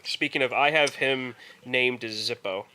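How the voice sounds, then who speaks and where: A man co-hosting a podcast in casual chat, rambling haltingly and repeating words as he goes. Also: medium pitch, sharp tone, smooth. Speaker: podcast co-host.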